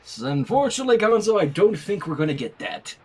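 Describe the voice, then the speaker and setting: A man's voice: high voice, quick pace, creaky. A man reading fan fiction aloud and commenting on it in a casual, conversational way. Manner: animated, conversational. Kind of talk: casual commentary and story narration.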